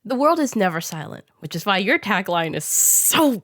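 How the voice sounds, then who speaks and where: A woman's voice: mid-range voice, big pitch swings, normal speed, a bit bright, dry recording, clean studio sound. A woman hosting a casual interview in a conversational style. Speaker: interviewer, show host.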